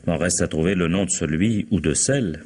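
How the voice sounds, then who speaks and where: A man's voice: deep voice, a little fast, a little mellow, husky. An actor playing a wry, sardonic police captain in a French radio crime drama, musing and mock-polite in his irony.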